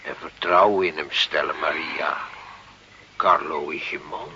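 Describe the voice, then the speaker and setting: A man's voice: low voice, vocal fry, pushed and bright, heavily acted. An actor playing a kindly, patient village priest in a radio drama, speaking in a hesitant, fatherly, pious manner. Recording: dull, lightly hissy old radio recording.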